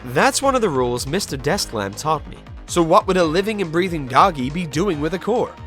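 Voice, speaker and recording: A curious, polite ghost detective from a video game, acted out by a fandubber. The voice is mid-pitched and moves around animatedly while musing, with faint music underneath. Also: big pitch swings, brisk, a bit bright. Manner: reflective, polite, talking to themself. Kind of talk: game fandub narration and dialogue.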